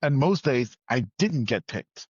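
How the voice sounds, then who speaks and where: A man's voice: mid-range voice, expressive, measured pace, a little mellow, husky, no echo. A man from Trinidad and Tobago telling his story as a podcast guest, conversational and emphatic, repeating phrases for weight. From Trinidad and Tobago, moved to the US at nine. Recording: slightly dull, lo-fi sound.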